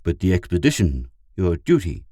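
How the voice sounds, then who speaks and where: A man's voice: deep voice, sing-song, moderate pace, mellow, a little hiss or hum. A man playing a rigid, indignant alien pilot devoted to duty, in a narrated story. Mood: indignant, lecturing. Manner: stern, exasperated, preachy. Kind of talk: audiobook character voice.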